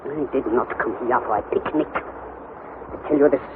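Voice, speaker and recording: An actor playing an elderly, pedantic professor uncle in a vintage radio drama, excitable and impatient, exclaiming and repeating words for emphasis. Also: male voice, medium pitch, measured pace, lightly acted. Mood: irritable, impatient. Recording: tinny, band-limited vintage radio, faint noise.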